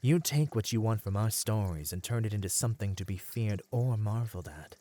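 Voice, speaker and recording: A man's voice: lowish voice, fairly quick, dark tone, close to an everyday voice. A man voicing a proud, bitter, melancholy captive merman in an ASMR roleplay, speaking in formal, eloquent phrases. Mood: challenging, bitter. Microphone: close studio mic, dry.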